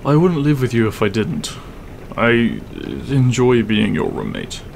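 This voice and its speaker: A man playing a grumpy but secretly caring half-dragon roommate in an audio roleplay, gruff, weary and blunt. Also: medium pitch, animated, a little slow, slight background noise. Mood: softening, caring. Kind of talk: audio roleplay acting, spoken to the listener.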